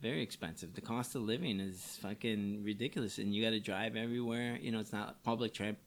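A man's voice: lowish voice, steady tone, fairly quick. Stoned Chicago guy, swearing, easygoing. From Chicago area, USA. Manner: laid-back, swears a lot, many "you know" fillers. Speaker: podcast guest. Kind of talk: casual podcast chat.

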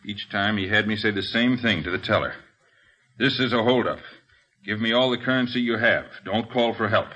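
An actor playing a shaken, earnest robbery witness in a 1950s radio drama, halting but emphatic. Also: male voice, fairly low pitch, pushed and bright, breathy edge. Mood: quoting sternly. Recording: dull old radio recording.

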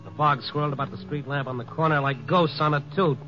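A hard-boiled newspaperman acted by a man with a fairly high-set male voice. He is wry and world-weary, tossing off wisecracks in old radio noir style. Music sits behind him on a muffled vintage recording. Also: fairly even intonation, quick pace, natural voice. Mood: tense, narrating. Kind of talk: 1950s radio noir narration and acting.

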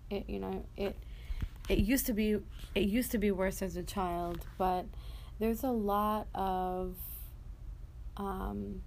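A young adult woman hosting a personal motivational podcast, speaking casually and candidly in a halting way with an occasional stutter. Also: medium pitch, creaky, darkish tone, rough recording. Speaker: podcast host. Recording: noticeable background noise.

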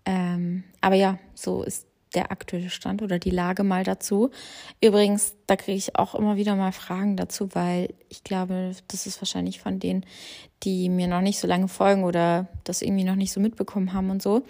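A woman's voice: medium pitch, flat delivery, a little fast, vocal fry, warm tone. A young woman hosting her own podcast, chatting casually and rambling with lots of fillers and stray English words. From born in Australia, raised in Germany. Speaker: podcast host. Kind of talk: casual solo podcast chat.

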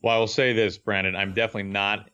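A young man with a deep voice, an earnest, reflective baseball broadcaster telling his story as an interview guest. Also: level tone, quick pace, bright, forceful tone, smooth, lo-fi sound.

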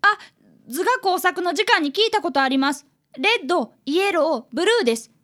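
A woman's voice: very high voice, animated, brisk, a bit bright. A woman playing an eager schoolboy apprentice detective. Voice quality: polite, eager. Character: earnest fifth-grade boy apprentice detective. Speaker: narrator and young apprentice. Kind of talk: radio drama narration.

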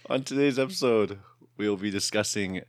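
A podcast host in his thirties talks loosely and rambles, laughing between thoughts. His voice is very low and raspy, worn hoarse. The sound is close and dry. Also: animated, a little slow, no echo. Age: 30s. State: hoarse from shouting the night before. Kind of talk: casual podcast chat.

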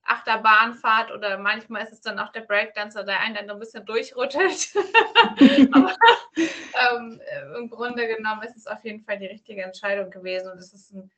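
Woman from north germany chatting as podcast guest, bright forward voice, rambly sentences. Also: mid-range voice, normal speed. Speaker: podcast guest, self-employed virtual assistant. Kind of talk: casual conversational interview answers.

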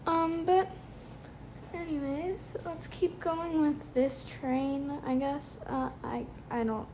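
A young woman chats alone into the mic from her bedroom late at night, rambling along with plenty of ums. Her voice sits high but comes out mellow and slow, tired around the edges. A faint hiss hangs behind her. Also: steady tone. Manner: chatty, rambling, lots of fillers. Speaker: podcast host. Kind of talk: casual solo podcast chat.

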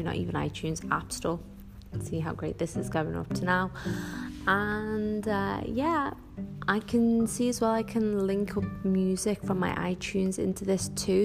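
Woman, Scouse accent, low-pitched, casual chatty podcast host, rambling with ums. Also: vocal fry. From Northwest England. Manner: chatty and rambling, many ums, self-correcting. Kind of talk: casual solo podcast chat.

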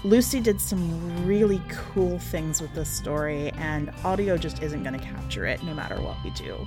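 A woman's voice: sits low, lively intonation, normal speed, over music. A woman hosting a podcast, giving informal commentary and talking directly to listeners in a conversational way. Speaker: podcast host and editor.

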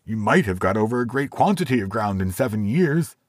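A man voicing a fearful, stubborn old miser, strained and pleading with a pitched-up voice. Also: lively intonation, a little fast, no echo, clean studio sound. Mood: wary.

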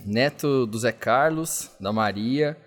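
A man's voice: mid-range voice, slow pace, soft background music. A Brazilian man in his thirties hosting a podcast and putting questions to a guest in a casual interview style.

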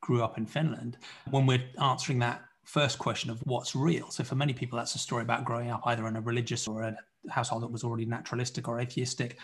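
Male host, talks fast, mid voice, asking big thoughtful questions. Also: medium pitch. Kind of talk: interview questions.

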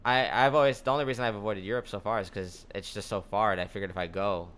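A young man with a fairly low voice, talking in an easygoing, rambling way and leaning on lots of fillers. He sounds like a podcast guest in casual conversation. A faint hiss sits behind him. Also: fast talker. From United States. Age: young adult. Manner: relaxed, rambling storyteller with frequent fillers.

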